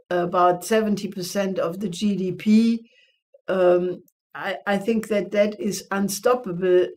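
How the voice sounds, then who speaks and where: An older woman with a German accent answers questions on a webcast over a video call, speaking haltingly with frequent fillers and throat clearing. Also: mid-range voice, darkish tone. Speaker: guest. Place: home room, by a window.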